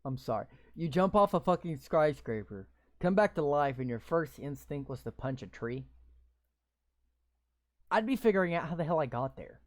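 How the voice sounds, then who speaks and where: A man reading a game's story text aloud in a slow, deliberate way, breaking off for blunt, sweary, sarcastic asides. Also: on the high side, animated. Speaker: gamer reading a visual novel aloud. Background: game music.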